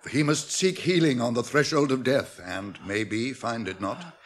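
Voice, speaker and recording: Guy playing stern old wizard, radio drama, medium pitch, grave voice of authority. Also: normal speed, natural voice. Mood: grave, commanding.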